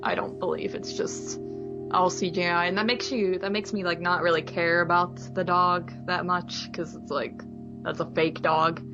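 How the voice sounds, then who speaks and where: A woman's voice: fairly low pitch, fairly even intonation, moderate pace. A woman chatting informally and conversationally as co-host of a movie podcast. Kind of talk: casual chat. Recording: dull lo-fi sound, music behind.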